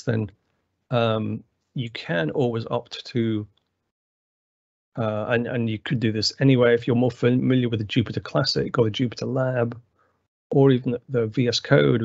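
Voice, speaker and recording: A man with a gravelly voice presenting a technical webinar walkthrough, rambling with many fillers and restarts. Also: mid-range voice, a little mellow, no echo. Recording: dry, slightly muffled webinar audio.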